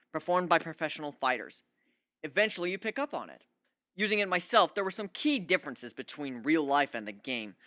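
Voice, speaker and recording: A man's voice: high voice, bright, forceful tone, no echo, heavily acted. A man voicing a cocky, scheming male griffin in a dramatic story reading, talking in a boastful, glib way. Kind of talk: dramatic reading of fan fiction.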